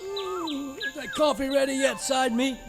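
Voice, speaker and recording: An excitable cowboy pal in a radio western spoof. His high, very animated voice is folksy and exclamatory, with music behind it. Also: unhurried, creaky, heavily acted. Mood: amazed. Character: excitable, gee-whiz male cowboy sidekick. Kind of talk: comic old-time radio western acting.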